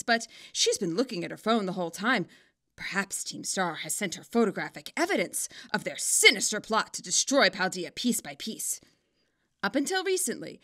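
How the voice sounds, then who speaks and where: A woman narrating a scripted story in an expressive, wryly dramatic way. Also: medium pitch. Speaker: author narrating own fan fiction.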